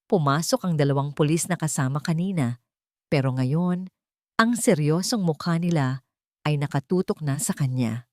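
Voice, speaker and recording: A woman's voice: deep voice, normal speed, mellow, dry recording, clean studio sound. A woman reading a dramatic romance story as scripted narration.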